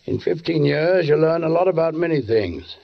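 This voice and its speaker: An actor playing a brooding middle-aged London police sergeant who narrates a murder tale in a measured, formal, ominous 1940s radio style. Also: male voice, on the high side, animated, gravelly. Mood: grave. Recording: dull 1940s radio recording, faint music.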